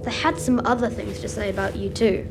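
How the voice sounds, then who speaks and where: A woman's voice: mid-range voice, steady tone, a little fast, close to an everyday voice. A woman playing a hurt, questioning young boy in an audio drama. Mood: suspicious, hurt. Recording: clear music underneath.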